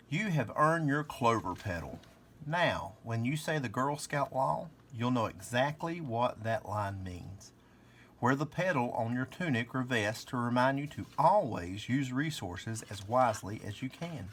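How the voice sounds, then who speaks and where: A man's voice: medium pitch, slight background noise. A man reading aloud a children's storybook in a scripted narration. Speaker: storybook reader.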